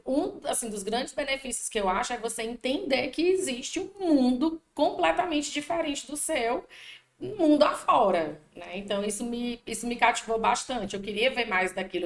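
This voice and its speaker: A Brazilian woman with a Piauí accent answers interview questions as a language teacher, talking freely and tagging her sentences with "né?". Her voice sits a little high, and her pitch jumps up when she stresses a point. The room around her is echoey. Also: normal speed. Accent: Piauí Brazilian Portuguese. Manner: talkative, frequent "né?" tags, animated pitch jumps.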